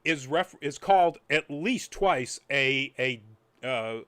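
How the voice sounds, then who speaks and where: A man's voice: medium pitch, expressive, takes it slowly, a bit bright, clear, resonant tone. Male podcast host, mid-range voice, conversational reviewer who rambles and drifts into tangents. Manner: rambling, trailing off into asides. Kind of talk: casual chat review.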